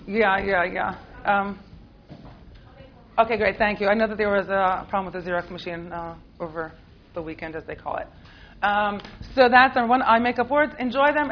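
Woman, American accent, rabbi teaching a group, playful and chatty, dull classroom recording. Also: medium pitch. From Israel. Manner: chatty and playful, often laughing, many fillers.

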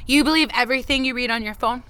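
Bright-voiced, indignant, sardonic woman. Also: a little high, fast talker, clean tone. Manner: blunt, challenging, sarcastic. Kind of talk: naturalistic audio drama dialogue.

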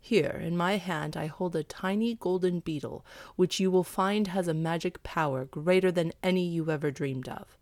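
Woman as a solemn, kindly old priest, low-toned. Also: moderate pace, a little mellow, dry recording, natural voice. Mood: reassuring, instructive. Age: older. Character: kindly old bald male priest, solemn messenger of gods.

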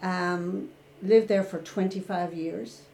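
Older Irish woman, candid reflective guest, warm tone, roomy sound. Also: on the low side, fairly even intonation, slow pace, vocal fry. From Ireland. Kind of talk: personal recovery story in a podcast interview.

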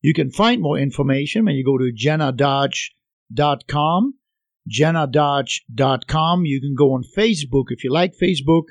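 A man's voice: on the high side, moderate pace, no room sound. Man with a foreign accent hosting a radio show. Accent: foreign accent, not specific. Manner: hesitant, many restarts and fillers. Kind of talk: conversational radio interview.

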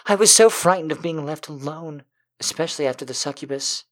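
A man voicing a lovely young woman in a fantasy story, a clingy, fearful damsel who speaks softly and coyly, with hesitation. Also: on the high side, brisk, voice pushed up, close to an everyday voice. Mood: frightened, coy. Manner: coy, soft-spoken, hesitant. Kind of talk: dramatic reading of a fantasy story.

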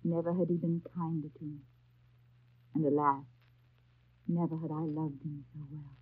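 A dull, lo-fi 1930s radio drama recording of a young governess in love with her master. Her voice is husky and earnest, with formal, literary phrasing and passionate, heartfelt feeling. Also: low-pitched, flat delivery, moderate pace. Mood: wistful, tender.

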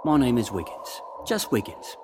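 A man's voice: medium pitch, big pitch swings, normal speed, noisy background, lightly acted. A man playing a florid, theatrical storyteller who was once a London street lad, narrating a dramatic serial. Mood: storytelling.

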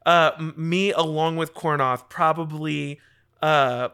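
A man playing a tabletop game, talking in a rambling, apologetic way full of restarts. His voice is bright and forceful, pitched a touch high. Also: fairly even intonation, slow pace, smooth. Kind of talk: in-character roleplay chat.

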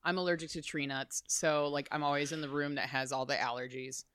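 A young adult woman talking as an interview guest, loud and chatty, laughing often. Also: sits low, flat delivery, a little fast, dry recording, studio quality. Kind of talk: casual interview chat.

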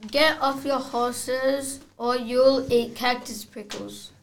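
A fairly high-voiced masked bandit acted by a child, threatening yet hapless. The lines are read slowly, as in a children's play. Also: fairly even intonation.

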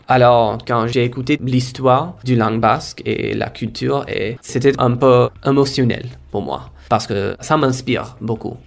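A young man from India whose first language is Korava gives an earnest, reflective personal account. His voice is gravelly and sits at a middle pitch. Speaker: language learner and teaching assistant.

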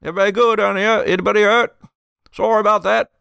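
A man voicing a concerned, apologetic ferry operator who calls out loudly, in an actual-play audio drama. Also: high voice, steady tone, quick pace, creaky, clear, resonant tone, no echo, studio quality, voice pushed up, full character voice. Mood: concerned, calling out. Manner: calling out loudly.

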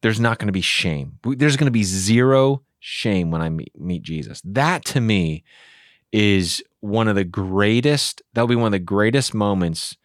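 Earnest pastor with a low voice. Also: male voice, unhurried, dry recording, studio quality. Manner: earnest, animated, acts out inner voices. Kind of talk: casual theology discussion.

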